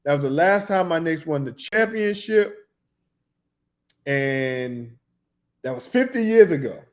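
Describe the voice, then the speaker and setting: A man, talking loosely and excitedly about basketball as a podcast co-host in casual chat. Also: a little high, measured pace. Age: 40s.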